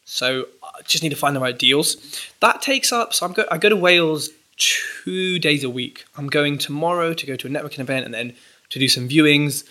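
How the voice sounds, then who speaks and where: Bright-voiced young man, animated chat. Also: on the high side, normal speed. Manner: animated, rambling with restarts. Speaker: podcast guest, property entrepreneur. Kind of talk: casual interview chat.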